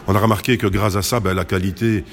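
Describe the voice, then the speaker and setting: Fast-talking, low-voiced man. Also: fairly even intonation. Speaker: carnival committee president, interview guest. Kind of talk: conversational interview answers.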